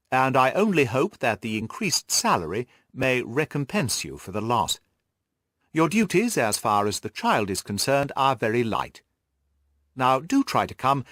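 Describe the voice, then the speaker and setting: A man voicing a polite, persuasive country gentleman's letter in a formal, courteous manner for an audiobook. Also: medium pitch, dry recording, studio quality.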